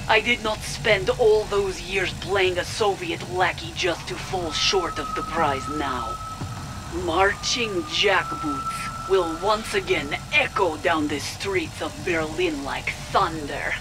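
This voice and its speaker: Slow, low-voiced fanatical villainess. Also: female voice, background noise. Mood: fanatical, resolute. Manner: intense, deliberate, theatrical. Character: fanatical, proud female military villain, devoted daughter. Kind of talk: dramatic video game audio log acting.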